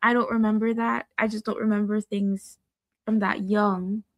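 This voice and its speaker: A young woman from Dominica chatting with her mother, talking in a halting, hesitant way. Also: mid-range voice, level tone, no room sound. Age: young adult. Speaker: guest, daughter. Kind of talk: casual family chat.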